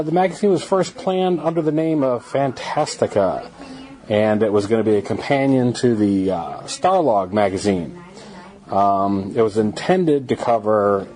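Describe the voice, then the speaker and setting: A man's voice: medium pitch, darkish tone. A man hosting a podcast solo, talking casually in a hesitant, loosely improvised way. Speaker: podcast host.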